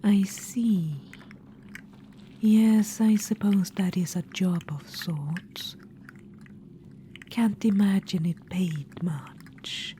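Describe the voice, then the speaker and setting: A woman voicing an ancient, vain and scheming undead witch-queen in a whispered ASMR roleplay. She whispers softly and unhurriedly, sounding condescending and calling the listener darling. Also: medium pitch, very slow, pauses often, a little hiss or hum. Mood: sly, coaxing.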